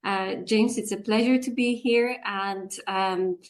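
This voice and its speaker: A woman's voice: medium pitch, fairly even intonation, slow pace. A woman in her forties from Poland, chatting as an interview guest about her work, with frequent laughs and fillers. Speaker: guest, business English communications coach. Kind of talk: casual interview chat.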